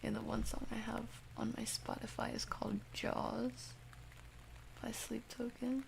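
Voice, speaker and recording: A woman chatting softly and casually on an ASMR stream, in a hushed, gentle delivery. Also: on the high side, flat delivery, unhurried, gravelly, slight background noise.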